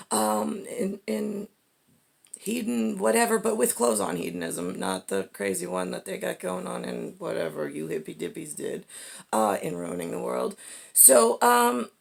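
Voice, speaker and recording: A woman with a husky, gravelly voice in a halting, digressive stream-of-consciousness monologue, often checking herself with little questioning tags. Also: medium pitch. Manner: acts out imagined two-way conversations.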